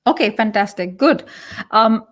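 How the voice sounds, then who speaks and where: A woman's voice: on the high side, lively intonation, unhurried. A woman moderating a panel discussion, chatty and full of fillers as she leads into each question. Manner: chatty, leans on "you know" and "like".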